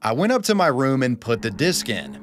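A man with a medium-pitched voice gives a dramatic reading of an online horror story. He narrates as a guest storyteller, with faint music underneath. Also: very animated, fairly quick, a bit bright. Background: background music.

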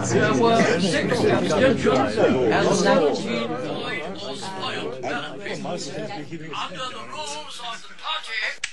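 Echoey, high-pitched man announcing results. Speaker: official announcer of ballot results. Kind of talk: formal public announcement. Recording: distant echoey hall with crowd.

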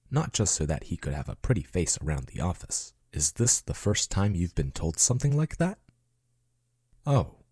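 A man's voice: low voice, a little mellow, slightly rough, no echo, clean studio sound, exaggerated voice. A man playing a devoted male chatbot companion in an ASMR roleplay, soothing and earnest, affectionate and a little possessive. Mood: comforting, indignant.

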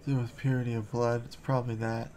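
A man's voice: mid-range voice, level tone, fast, dark tone, slight background noise. A man giving casual gameplay commentary with offhand, joking asides. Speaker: gamer.

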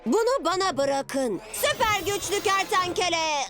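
A woman's voice: very high-pitched, lively intonation, unhurried, over music. A woman playing a kind, optimistic little superhero boy in a dubbed children's cartoon, earnest and good-natured. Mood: determined. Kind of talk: animated children's cartoon dubbing.

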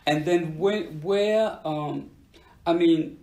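A man interviewing a musician in casual chat, enthusiastic and full of quick interjections. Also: on the high side, warm tone, echoey room. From England.

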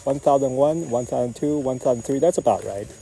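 A man at an outdoor airfield gives a steady play-by-play of his own flight, naming each maneuver in clipped phrases. His voice is very dark and mellow, at a middling pitch. The tone stays calm and matter-of-fact. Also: a little fast, creaky, slight background noise, rough recording. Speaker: RC jet pilot. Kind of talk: live flight commentary.

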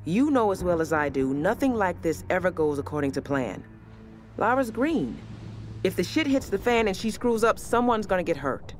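An actress playing a tough, skeptical ship's crew officer in a video game letter, firm, blunt and no-nonsense. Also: female voice, lowish voice, sing-song, moderate pace, faint music behind. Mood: stern, skeptical.

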